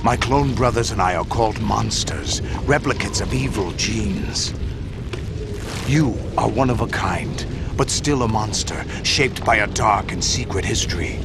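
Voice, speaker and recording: A gravelly old warlord, grave, solemn, slow, loud game score behind. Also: male voice, lowish voice, over music. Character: scarred old warlord, grave foster father. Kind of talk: video game cutscene acting.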